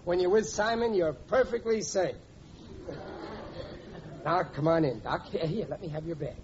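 An actor playing a blustery, scheming but soft-hearted working-class father in an old radio comedy, wheedling and ungrammatical. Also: fairly high pitch, normal speed, natural voice. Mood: eager, wheedling. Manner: blustery, wheedling, uneducated grammar. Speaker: sitcom lead actor. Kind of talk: 1940s radio comedy acting. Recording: muffled, noisy old radio recording.

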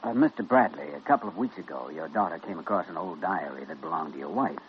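An actor playing a calm, reassuring hospital doctor who questions gently, in old-time radio drama style. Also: male voice, sits low, fast. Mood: serious. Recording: dull, noisy vintage radio recording.

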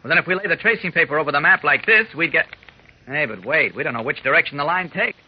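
A muffled old radio recording of an earnest male investigator. His voice is fairly high and husky, and he reasons deliberately with determination. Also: animated. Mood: earnest, puzzled. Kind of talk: 1940s radio acting.

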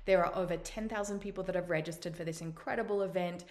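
An Australian woman in her forties hosts a motivational podcast, speaking in a somewhat low voice. She talks earnestly and encouragingly, stretching out her phrases as she speaks straight to her listeners. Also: steady tone, quick pace, a little mellow. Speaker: podcast host. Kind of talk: motivational monologue.

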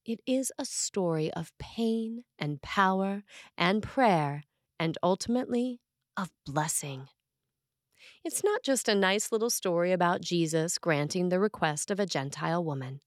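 A woman priest reading a scripted sermon in a measured, earnest way with dramatic emphasis on key phrases. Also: fairly low pitch, animated, no room sound, studio quality.

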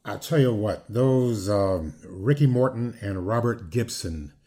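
Man in his sixties, mellow low voice, rambling casual chat, podcast host. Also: expressive, unhurried. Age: 60s. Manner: rambling, many fillers and restarts. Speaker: podcast host, former wrestler.

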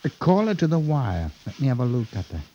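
An actor playing a gruff, leering gentleman, halting and insinuating, in a 1950s radio drama. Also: mid-range voice, sing-song. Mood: coaxing. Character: gruff, leering English man shopping for servant girl. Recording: muffled old radio recording.

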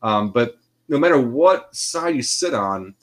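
Podcast co-host, male, mid-pitched, rambling casual chat. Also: lively intonation, unhurried. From Austria. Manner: rambling, full of restarts and fillers.

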